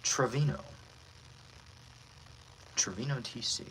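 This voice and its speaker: A man's voice: mid-range voice, takes it slowly, fairly bright tone, slight background noise, rough recording. A man hosting a casual livestream as a voice actor, joking playfully with the viewers as he talks.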